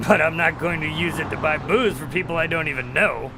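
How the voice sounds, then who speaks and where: An actor playing a cynical, self-centred skeptic in an audio drama, blunt and sarcastic. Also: male voice, fairly high pitch, fairly quick, music in the background, natural voice. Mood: dismissive.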